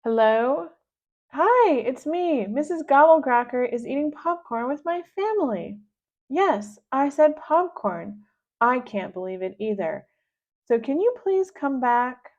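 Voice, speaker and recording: A woman doing a loud, dramatic little girl. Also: high-pitched, sing-song, moderate pace, voice pushed up. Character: dramatic, headstrong young girl. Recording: dull lo-fi recording.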